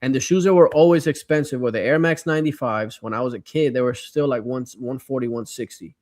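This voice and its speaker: A man's voice: mid-range voice, fast talker, darkish tone, no room sound, studio quality. A middle-aged American man from Miami chatting casually and nostalgically in slang as a livestream host. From Miami, United States. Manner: slangy, conversational. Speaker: livestream host reading viewer comments.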